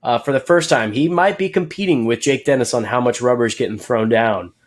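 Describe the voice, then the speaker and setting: A man's voice: mid-range voice, a little fast. A man co-hosting a podcast, recapping racing in casual chat, rambling with many fillers and jokes. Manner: rambling, filler-heavy, joking and laughing. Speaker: podcast co-host.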